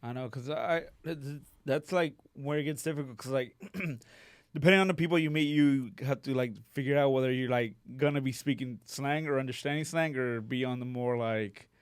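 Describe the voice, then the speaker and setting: A man's voice: medium pitch, moderate pace, smooth, dry recording, clean studio sound. A man with a Texan Mexican-American accent and Spanish as his first language, hosting a podcast in casual, loose talk with some swearing. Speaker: podcast host.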